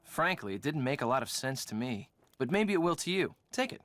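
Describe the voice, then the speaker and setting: A man's voice: medium pitch, big pitch swings, fairly quick, heavy background noise, natural voice. A man playing a glib, casual, evasive young man in a video game. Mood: breezy, casual. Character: evasive, breezy young man, a groom's best friend. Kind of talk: scripted game dialogue.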